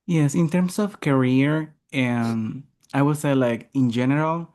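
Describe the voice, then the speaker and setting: A man from Venezuela, a software engineer guest, answering interview questions thoughtfully with some hesitant restarts over a video call. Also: medium pitch, a little slow.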